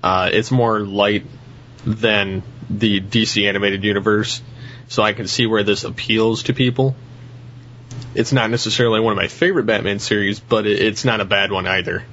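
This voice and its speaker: A man speaking haltingly as a panelist in a casual podcast discussion. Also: fairly low pitch, steady tone, a bit bright, muffled recording, a little hiss or hum.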